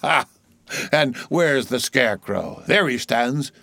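A man's voice: medium pitch, expressive, slow pace, gravelly, sharp tone, dry recording, full character voice. A man voicing a crazed ship's boy who chants eerie nonsense in a repetitive singsong, in a storybook reading. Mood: crazed, playful.

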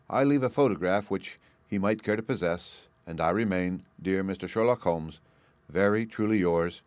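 A clever, composed woman's letter, as a male reader voices it, read in a measured, low voice. The sound is dry and close to the microphone. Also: no echo, close to an everyday voice.

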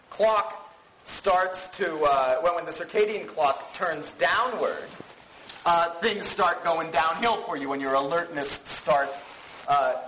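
A man lectures to a class in a fairly high voice. He explains conversationally and often breaks off to restart and correct himself. The room is echoey, with slight background noise. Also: a bit bright. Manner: halting, rambling, frequent false starts and self-corrections. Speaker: university psychology lecturer.

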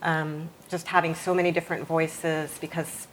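A woman with a low-pitched voice conducts a literary interview. She circles toward long questions in a tentative, self-deprecating way. Some noise sits behind her on the live recording. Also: little pitch movement, moderate pace, low-quality recording. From West Indies. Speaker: interviewer, fellow novelist.

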